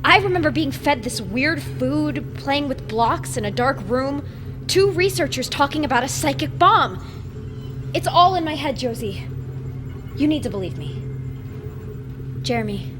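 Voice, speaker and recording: High-voiced, earnest, insistent young woman. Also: expressive. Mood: pleading. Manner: urgent, pleading, halting. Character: Alex, earnest, insistent young woman haunted by buried memories. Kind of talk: scripted audio drama acting. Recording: music bed under the voice.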